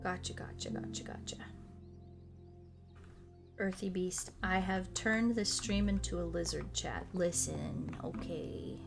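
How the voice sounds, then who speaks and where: A woman's voice: fairly low pitch, fairly even intonation, slow, creaky. A woman streamer chatting casually and enthusiastically, rambling off on tangents and swearing freely. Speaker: video game streamer. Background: background music.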